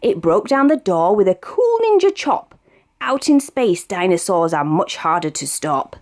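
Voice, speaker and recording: Female British storyteller for a rhyming picture book; expressive, sing-song delivery with a wide pitch range. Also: unhurried. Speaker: storybook reader. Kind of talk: read-aloud of a rhyming children's picture book.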